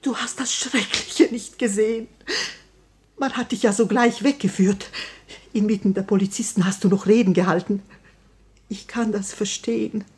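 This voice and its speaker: An actress playing a grief-stricken, haunted Grand Duchess with a husky, breathy voice, intense in 1950s radio drama style. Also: female voice, medium pitch, fairly even intonation, a little mellow. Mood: bitter grief. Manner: slow, intense, anguished.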